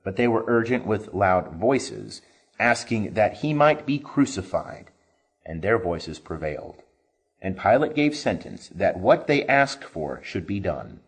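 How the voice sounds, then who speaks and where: A man reading scripture aloud as a scripted narration, solemn and expressive in delivery. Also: on the low side, measured pace, slightly rough, rough recording. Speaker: scripture reader. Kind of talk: dramatic scripted Bible reading.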